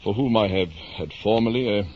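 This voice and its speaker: An actor playing a deluded, grandiose middle-aged knight-errant, declaiming floridly in the style of 1950s radio drama. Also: male voice, fairly low pitch, moderate pace, natural voice. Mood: lofty, dreamy. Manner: pompous, florid, theatrical declaiming. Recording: muffled, hissy 1950s radio recording.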